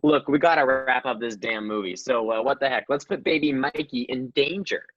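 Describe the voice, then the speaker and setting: A man's voice: medium pitch, normal speed, a bit bright. A man comedian giving sassy narration for a comedic radio play over slightly dull livestream audio.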